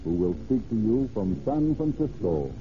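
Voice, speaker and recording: A man's voice: lowish voice, sing-song, normal speed, narrow, tinny audio. A man announcing in a formal, declamatory 1930s radio style, reading scripted copy and narration. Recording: thin old radio broadcast recording, music.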